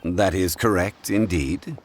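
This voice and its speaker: An actor playing a calm, courteous, self-assured gentleman scholar in a video game, in a measured, formal manner. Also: deep voice, slight background noise. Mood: composed, modest.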